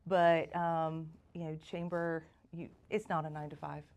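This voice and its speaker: A woman's voice: low voice, level tone, moderate pace, darkish tone. A woman, chatting as a podcast guest and telling her story with self-deprecating humor. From South Georgia, US. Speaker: chamber of commerce president, guest. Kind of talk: casual podcast conversation.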